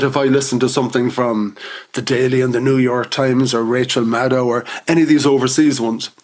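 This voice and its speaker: A man hosting a podcast interview, chatty and enthusiastic, often laughing between points. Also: mid-range voice. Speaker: podcast host. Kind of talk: casual interview chat.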